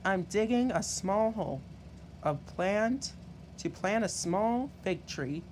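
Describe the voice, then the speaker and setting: A man voicing a cheerful, proud old gardener of about a hundred in a storybook, unhurried in manner. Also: high voice, expressive, a little mellow, a little hiss or hum.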